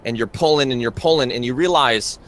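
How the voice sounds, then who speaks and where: A man working as game master, improvising narration for a horror roleplay in a halting way, often circling back over his phrases. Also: medium pitch, a bit bright.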